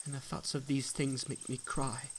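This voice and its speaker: A man's voice: medium pitch, flat delivery, moderate pace, slight background noise, pitched above the performer's own voice, close to an everyday voice. A man voicing a distressed, burdened man in rags who pleads and despairs in archaic language, in a dramatic story reading. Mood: distressed, pleading. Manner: anguished, pleading, archaic diction.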